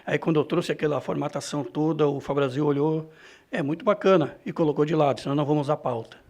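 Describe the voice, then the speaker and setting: A man answering interview questions about his own experience, in a halting, self-correcting way. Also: a little high, steady tone, darkish tone. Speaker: radio reporter and presenter being interviewed. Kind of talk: reflective interview answer, personal anecdotes.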